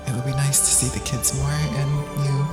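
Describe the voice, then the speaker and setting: A woman's voice: very deep voice, little pitch movement, brisk. A woman playing a kindly, concerned grandmother in audio drama dialogue, recorded in an echoing room. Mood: tender, concerned. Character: kindly, concerned grandmother, mother of grown daughter. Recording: echoing room, loud music underneath.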